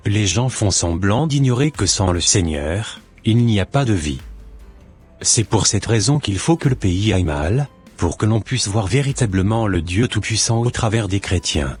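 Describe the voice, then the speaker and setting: Man doing a cartoon doctor, middle-aged, preachy, deep, husky, soft background music. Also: normal speed. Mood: lecturing. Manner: calm, lecturing and preachy. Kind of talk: amateur cartoon voice acting.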